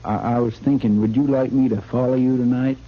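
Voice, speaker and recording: An actor playing a simple-hearted, deferential deputy with a rural American accent, hesitant and folksy, in an old-time radio Western. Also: male voice, medium pitch, steady tone, a little fast, lightly acted. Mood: worried. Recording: muffled old radio recording, hum and hiss.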